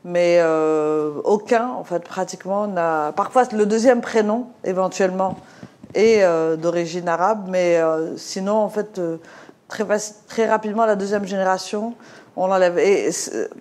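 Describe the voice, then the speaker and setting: Middle-aged woman from Morocco, slight Moroccan French accent, panel speaker, hesitant and full of fillers, mid-pitched. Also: unhurried, darkish tone. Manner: hesitant, many fillers and restarts. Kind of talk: discussion talk.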